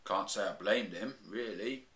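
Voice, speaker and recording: A man voicing a calm, matter-of-fact father bear in a comic storybook reading. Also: on the low side, measured pace, reverberant. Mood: dry.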